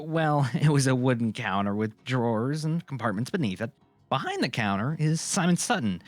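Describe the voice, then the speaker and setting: A man narrating a scripted audio drama in an informal, amateur reading style. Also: mid-range voice.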